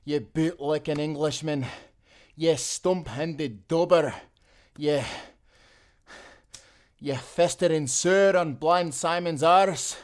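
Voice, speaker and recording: A proud sailor, acted by a man in a seafaring audio drama, slightly high in pitch for a man. He is weary yet defiant, speaking in combative, old-fashioned sailor talk. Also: slow, pauses often. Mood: scornful, angry. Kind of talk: cinematic audio roleplay acting.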